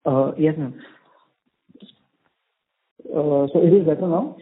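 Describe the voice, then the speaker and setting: A man, an analyst asking questions on an earnings call, halting and repeating his words. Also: a little high. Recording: phone line.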